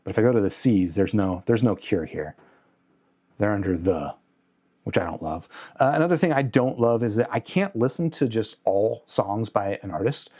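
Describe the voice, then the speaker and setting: A man's voice: fairly low pitch, expressive, normal speed, warm tone. A man presenting a product review in a casual, unscripted way, conversational and candid, with a mildly critical bent.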